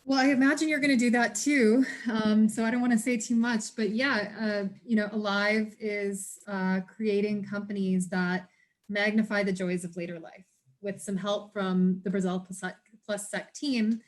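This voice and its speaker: A woman from a venture studio introducing its work in a relaxed way, with fillers. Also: mid-range voice, normal speed. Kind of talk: casual introduction.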